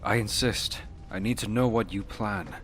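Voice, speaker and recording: A man's voice: on the low side, fairly even intonation, normal speed, fairly bright tone, soft background music, lightly acted. An actor playing an earnest young male warrior hero in a fantasy game, with a plain, serious, questioning manner. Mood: serious, insistent. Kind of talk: dramatic fantasy game dialogue.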